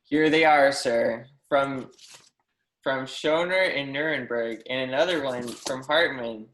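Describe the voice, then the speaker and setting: A man's voice: mid-range voice, slow pace, fairly bright tone. A man playing an eager, deferential young mathematics professor in a stage play reading, earnest, effusive and formally polite. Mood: reverent, insistent. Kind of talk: readers theatre performance of a history play. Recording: echoey room.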